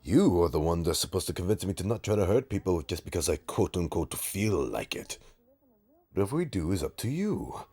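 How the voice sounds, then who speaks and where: A man playing a bitter, taunting supervillain in an audio roleplay, with a raspy, gravelly voice and a sardonic, theatrical, halting delivery. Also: low-pitched, big pitch swings, mellow, exaggerated voice. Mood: sneering, irritated. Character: menacing, taunting male supervillain, bitter, grudgingly rehabilitating. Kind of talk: scripted audio roleplay drama.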